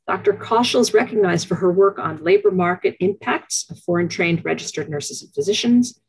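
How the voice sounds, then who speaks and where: Husky-voiced woman, formal introduction. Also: medium pitch, rough recording. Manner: measured, formal, reads from notes. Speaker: dean introducing the lecture. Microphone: video call.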